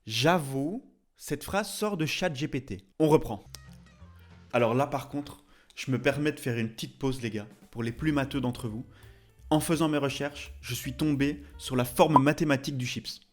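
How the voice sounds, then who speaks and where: A man's voice: medium pitch, moderate pace. A chatty man hosting a video with jokey, casual commentary and theatrical, mock-indignant asides. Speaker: YouTube host, tattoo artist. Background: music behind the voice.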